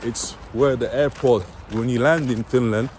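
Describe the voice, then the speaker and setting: A man's voice: mid-range voice, fairly even intonation, moderate pace, darkish tone. A man chatting casually as a travel vlogger, addressing viewers and interviewing people in a friendly way. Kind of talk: casual street vlog chat. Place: outdoors on a winter city street, walking. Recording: noisy outdoor street with traffic.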